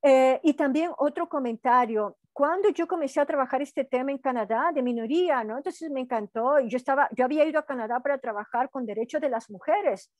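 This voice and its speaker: A woman's voice: high voice, brisk, few pauses, darkish tone, no room sound. A woman from Peru giving an online university lecture in a conversational way, often adding "¿no?" to check in with students. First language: Quechua heritage, lives in Brazil. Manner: conversational, frequent "¿no?" tag questions. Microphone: video call.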